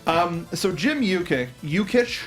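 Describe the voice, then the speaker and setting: A man with a somewhat high voice co-hosts a film review talk show. He explains production details casually, often doubling back on his words in a halting delivery. Faint music plays underneath. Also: lively intonation.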